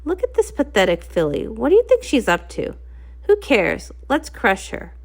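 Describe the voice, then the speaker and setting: A woman's voice: a little high, wildly swooping pitch, moderate pace, full character voice. A woman voicing a cruel, scornful dragon raider with a sneering manner in a comic read-along. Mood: mocking.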